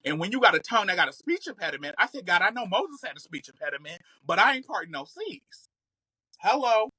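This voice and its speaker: Bright, sassy man telling stories. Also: sits high, sing-song, fast, husky, dry recording, low-quality recording. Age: 30s. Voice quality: occasional slight stutter. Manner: sassy, slangy, emphatic, comedic. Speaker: podcast guest, content creator. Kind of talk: casual, animated storytelling.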